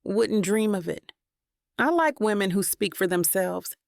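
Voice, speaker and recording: A woman's voice: medium pitch, expressive, measured pace, creaky, dry recording, clean studio sound. Confident flirty businessman read by a woman. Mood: flirtatious. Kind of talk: audiobook character dialogue.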